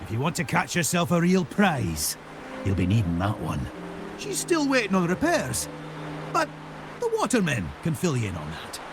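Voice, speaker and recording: An actor playing an eccentric, jovial old seafaring captain in a video game, gravelly and folksy. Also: male voice, on the high side, normal speed, background noise. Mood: hearty, rambling. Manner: folksy, animated, swooping delivery. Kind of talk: video game character acting.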